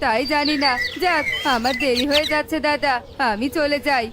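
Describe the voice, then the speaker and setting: A woman's voice: sits high, normal speed, heavy background noise, close to an everyday voice. A woman voicing a friendly, cautious male forest bird in a children's cartoon. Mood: friendly warning.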